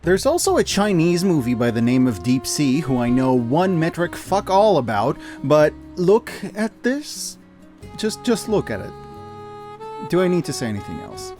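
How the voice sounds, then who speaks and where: A man's voice: fairly high pitch, big pitch swings, moderate pace, music in the background. A man delivering an opinionated scripted review, emphatic and informal, given to hyperbole. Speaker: video essayist reviewing media.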